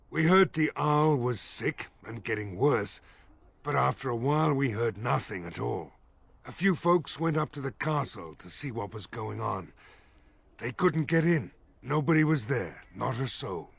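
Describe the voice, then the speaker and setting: Gravelly, weary village mayor. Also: male voice, mid-range voice, lively intonation, a little slow, dry recording, exaggerated voice. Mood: grim. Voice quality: gravelly, husky. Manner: blunt, grumbling. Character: weary, gruff village mayor under siege. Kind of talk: video game voice acting.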